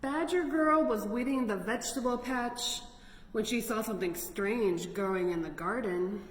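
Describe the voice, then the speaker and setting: A woman's voice: fairly high pitch, normal speed. A woman hosting a children's storytime, reading picture books aloud expressively and adding chatty, exclaiming asides to the listeners. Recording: echoey, reverberant room with noticeable noise.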